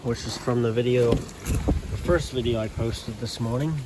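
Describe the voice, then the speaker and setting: An American man giving a casual, unscripted video tour as a hobbyist, in a halting, plainspoken way with pauses mid-sentence. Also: medium pitch, very noisy. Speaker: amateur radio hobbyist giving a setup tour.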